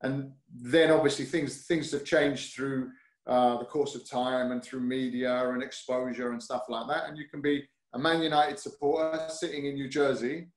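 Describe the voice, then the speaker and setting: A man's voice: medium pitch, fairly even intonation, normal speed. London man in a roomy echo. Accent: London English. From North London, UK. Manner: hesitant, many fillers and restarts, easygoing. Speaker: guest. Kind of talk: casual interview chat.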